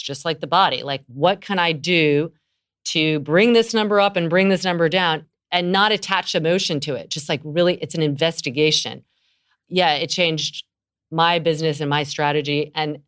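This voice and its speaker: A middle-aged woman with a notably low, smooth voice hosts a conversation with a peer. She talks in an eager, chatty way, agreeing often and laughing easily. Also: a bit bright. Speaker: podcast host, yoga teacher. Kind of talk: conversational interview.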